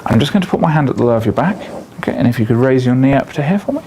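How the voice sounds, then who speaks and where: A man's voice: medium pitch, animated, racing, dark tone, a little hiss or hum. A man working as a doctor, giving polite, procedural step-by-step instructions during a clinical exam. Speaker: doctor examining a patient.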